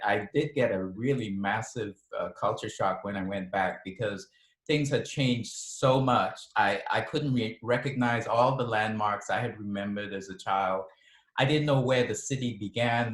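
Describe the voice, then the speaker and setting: A middle-aged man with a mixed Ghanaian, British and Californian accent, telling stories as an author guest in a relaxed interview, laughing often and restarting his sentences. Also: on the low side. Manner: chatty, laughs often, many restarts and ums. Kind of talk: relaxed storytelling in a video interview. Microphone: video call. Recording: echoey room on a video call.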